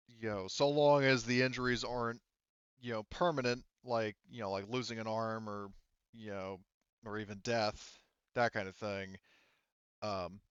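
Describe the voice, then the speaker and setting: Low, smooth-voiced man running a game. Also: fairly bright tone, no room sound, muffled recording. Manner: halting, circling explanations with frequent hedging asides. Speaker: game master. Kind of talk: improvised narration and rules talk for a tabletop roleplaying game.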